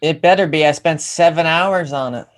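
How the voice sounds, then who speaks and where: A man's voice: on the high side, normal speed, lightly acted. A man playing a hapless, dramatic aspiring male screenwriter in a comedy table read. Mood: pleased, proud. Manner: theatrical, swinging between gushing and bleak.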